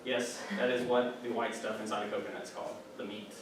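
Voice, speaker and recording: A man's voice: fairly low pitch, level tone, fast talker. A young man giving a casual, chatty classroom presentation, full of fillers and asides. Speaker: student giving a class speech. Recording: echoey room, distant mic, background noise.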